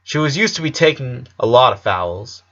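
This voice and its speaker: A man's voice: medium pitch, expressive, normal speed, a bit bright, lo-fi sound. A man reading a scripted story aloud as an audiobook narrator.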